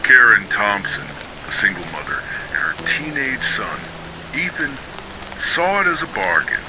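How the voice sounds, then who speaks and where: A man narrating scripted ghost stories for sleep in a measured, dramatic storytelling manner. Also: on the low side, very animated, background noise.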